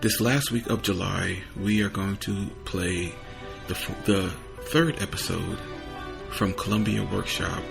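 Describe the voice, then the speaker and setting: A man's voice: low-pitched, fairly even intonation, unhurried, gravelly, over music. A man hosting a podcast, giving a casual spoken introduction. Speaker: podcast host.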